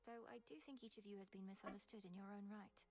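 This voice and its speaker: An actress playing an earnest, curious young witch princess who speaks gently in a video game. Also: medium pitch, fairly even intonation, fast talker, natural voice. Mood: gentle.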